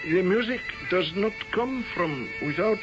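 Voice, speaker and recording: An actor playing an obsequious manservant in a radio drama, hesitant and flowery. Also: male voice, high-pitched, animated, a little slow, loud music behind the voice. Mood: fawning, hesitant. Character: obsequious Indian manservant, flowery, timid, slyly superior. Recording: dull vintage radio drama recording.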